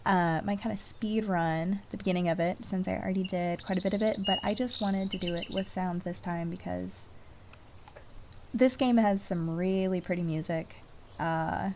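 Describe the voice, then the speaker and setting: Casting: female streamer with noticeable vocal fry, mid-pitched, informal, distracted chat while gaming. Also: background noise. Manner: informal, distracted, thinking aloud. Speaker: game streamer. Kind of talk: casual chat while playing a game. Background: game music.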